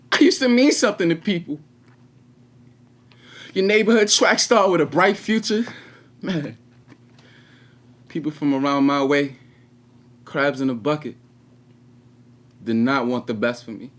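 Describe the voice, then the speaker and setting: An actor playing an anguished, regretful 21-year-old prisoner in a confessional stage monologue with dramatic pauses. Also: male voice, on the high side, lively intonation, a bit bright. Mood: anguished, agitated, then bitter, regretful. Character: anguished 21-year-old male prisoner, former track star, bitter and regretful.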